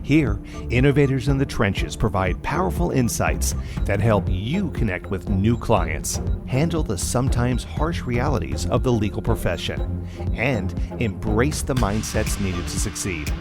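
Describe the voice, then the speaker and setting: Lively low announcer voice over music. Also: male voice, a little slow. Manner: smooth promo delivery.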